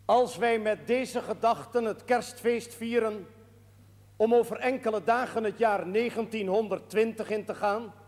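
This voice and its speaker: Pompous Dutch parish priest in a period TV drama: high voice, slow solemn preaching, long church reverb. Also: male voice. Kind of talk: sermon.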